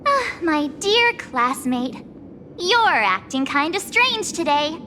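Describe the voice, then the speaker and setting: A young woman voicing a chatty, friendly schoolgirl classmate in an anime-style game, expressive and a little hesitant. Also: high-pitched, slow pace, slight background noise, heavily acted. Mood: concerned, puzzled. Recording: music behind the voice. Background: game music.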